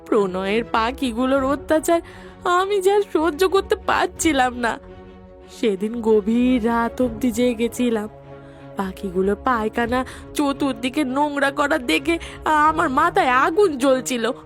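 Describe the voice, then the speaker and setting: A woman playing a distraught, guilty village wife in an animated melodrama, pleading tearfully with stammered repetitions. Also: sits high, measured pace. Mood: anguished. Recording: music behind the voice.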